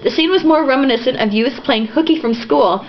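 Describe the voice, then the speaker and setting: A woman's voice: high voice, fairly quick. A woman reading a novel aloud in an irreverent, sarcastic, giggly way, stumbling over sentences and dropping into casual asides. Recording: dull lo-fi home recording, some noise.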